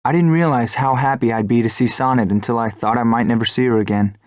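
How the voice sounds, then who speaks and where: An actor playing an earnest, brooding young fighter pilot in a video game, with reflective, measured delivery. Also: male voice, mid-range voice, fairly even intonation, no room sound, clean studio sound, close to an everyday voice. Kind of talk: scripted cutscene narration and dialogue.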